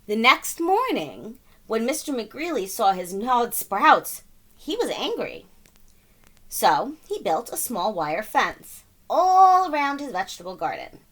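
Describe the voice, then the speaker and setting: Animated, bright-voiced woman reading a storybook. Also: on the high side, slow. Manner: dramatic, emphatic, playful with sound words. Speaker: storybook reader. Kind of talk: animated children's read-aloud narration.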